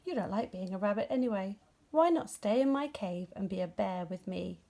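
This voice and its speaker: A woman voicing a big, gentle, generous male bear with a kindly manner in a children's storybook. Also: medium pitch, lively intonation, normal speed, creaky.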